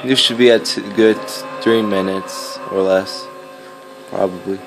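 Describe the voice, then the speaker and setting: A teenage boy talks through his gameplay in a slow, casual ramble, hesitating as he searches for words. He is recorded on a phone, with game music clearly playing behind him. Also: low voice, steady tone, low-quality recording. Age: teenager, fourteen. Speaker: gaming video maker. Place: indoors, filming a game on a screen. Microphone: handheld phone.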